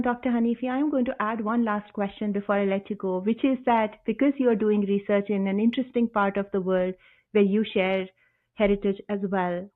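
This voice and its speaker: Earnest woman host over a tinny line. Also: on the high side. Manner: earnest, engaged, builds questions at length. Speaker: podcast host, interviewer. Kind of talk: conversational interview questions.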